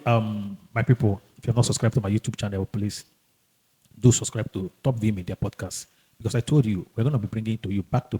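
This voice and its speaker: Husky-voiced male podcast host. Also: fairly low pitch, dark tone, low-quality recording. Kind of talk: casual podcast introduction and interview chat.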